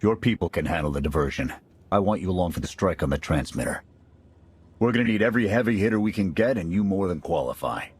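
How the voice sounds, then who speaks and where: A low, husky squad major. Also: male voice, expressive, fast. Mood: briefing. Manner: clipped military briefing tone. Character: steady male special forces major, seasoned field leader. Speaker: military squad commander. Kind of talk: video game cutscene acting.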